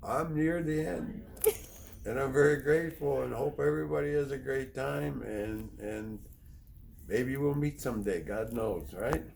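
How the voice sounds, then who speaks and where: A middle-aged or older man from Poland, sharing personal testimony in a plain-spoken, halting, rambling way as a recovery speaker. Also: medium pitch, gravelly, darkish tone. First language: Polish. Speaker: recovery speaker, treatment counselor.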